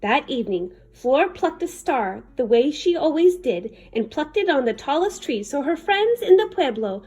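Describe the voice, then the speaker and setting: A woman reading a children's picture book aloud in an expressive, dramatic manner. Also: sits high, moderate pace. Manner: animated, dramatic. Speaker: children's story reader.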